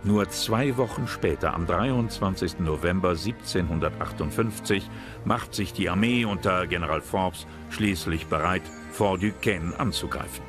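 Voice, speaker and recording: A man giving measured, serious scripted narration for a history documentary. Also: deep voice. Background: music.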